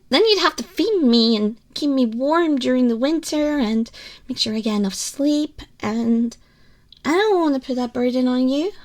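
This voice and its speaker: Woman doing a little furry haggis girl, asmr roleplay, really high voice, slow n hesitant, anxious, stammery, always apologising. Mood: awkward, self-effacing. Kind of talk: ASMR roleplay acting, addressing the listener.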